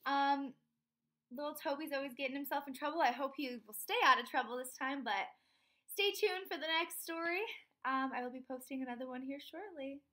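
A woman in her twenties reads a children's storybook aloud expressively, pausing for emphasis and chatting with young listeners. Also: sits high. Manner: animated, expressive, emphatic pauses on key words. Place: small room at home.

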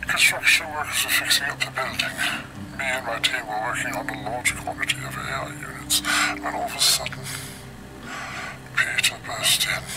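Game role: grim male scientist survivor voiced by a man, medium pitch, hushed research-log narration, measured and grave, under loud music. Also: lightly acted, rough recording. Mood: haunted, bitter. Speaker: narrator of in-game cassette recordings. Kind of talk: scripted narration of game lore logs.

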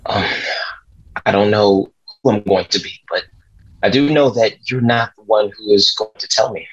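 Husky, defiant young jazz student, acted by a man. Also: fairly low pitch, normal speed. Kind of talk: script table read acting. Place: small room at home, on video call. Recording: dull, lo-fi video call audio.

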